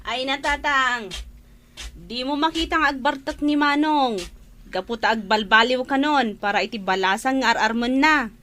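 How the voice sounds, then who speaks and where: A woman's voice: high-pitched, normal speed, a bit bright. A woman playing a cheeky, teasing younger sister in a radio drama, with an Ilocano accent. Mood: protesting.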